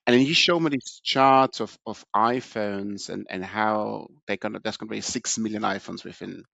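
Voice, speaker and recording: A middle-aged man from Austria, a podcast guest telling his career story in a relaxed way, with many fillers and restarts. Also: fairly low pitch, moderate pace, gravelly, no room sound, lo-fi sound. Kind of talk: casual interview storytelling.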